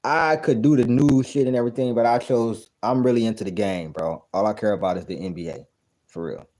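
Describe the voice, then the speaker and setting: A man's voice: mid-range voice, a little fast, darkish tone, poor audio. A man in his thirties giving blunt, profane, incredulous commentary while reacting to a clip, often repeating his points. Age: 30s. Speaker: reaction video host.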